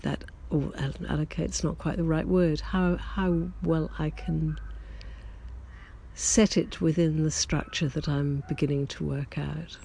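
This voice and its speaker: Slow, gravelly, hesitant diary-keeping writer. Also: medium pitch, level tone. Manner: halting and gentle, with many ums and self-corrections. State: recovering from a lost voice, slightly hoarse. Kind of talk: slow reflective personal chat to listeners.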